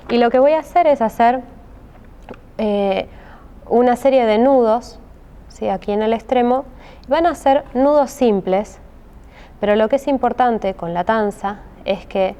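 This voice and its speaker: A woman's voice: medium pitch, darkish tone, dull audio, a little hiss or hum. A woman with a Rioplatense Spanish accent teaching a step-by-step craft tutorial, patient and checking in often with her listeners. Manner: patient, with frequent "¿sí?" check-ins and many hesitations.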